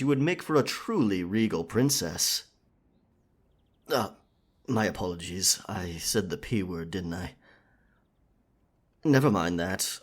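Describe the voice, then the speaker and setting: A man voicing an earnest, courteous royal knight in a scripted roleplay audio, speaking formally and chivalrously. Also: fairly low pitch. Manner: formal, chivalrous, wordy speech.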